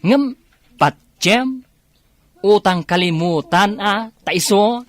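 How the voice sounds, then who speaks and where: A woman's voice: medium pitch, animated, takes it slowly, noisy background. A woman playing a blunt, sassy village beauty parlor owner in a comedy radio drama, with an Ilocano accent. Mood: sassy scolding.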